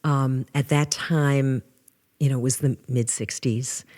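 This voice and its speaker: Older New woman with a low voice. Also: a little slow. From New York. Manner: animated storyteller, restarts often. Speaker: guest musician. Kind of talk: casual interview.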